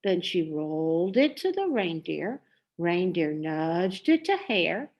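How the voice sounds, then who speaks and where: Lady reading a kids book, very lively, takes her time. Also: lowish voice. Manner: deliberate, expressive. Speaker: storybook reader. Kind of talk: expressive children's story narration.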